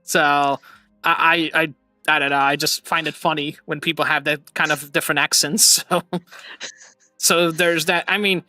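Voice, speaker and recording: A man chatting on a live stream with a bright, forward voice, drifting between thoughts and laughing at his own slips. The sound is dry and close, with faint music underneath. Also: fairly high pitch, steady tone, moderate pace, no room sound, poor audio. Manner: rambling, laughs often, self-mocking. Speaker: streamer host. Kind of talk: casual chat.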